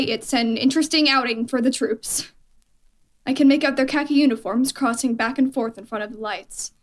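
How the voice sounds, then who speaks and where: High-voiced confident army captain played by a woman. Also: natural voice. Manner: assured, dismissive of danger. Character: confident army signal corps captain reporting from the field. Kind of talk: radio play battlefield report.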